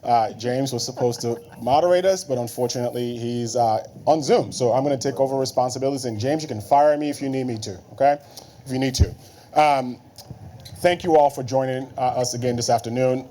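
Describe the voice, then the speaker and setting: A man from Nigeria, in his thirties, moderating a conference panel in a relaxed way with many fillers. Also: mid-range voice, brisk, a little hiss or hum. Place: conference room.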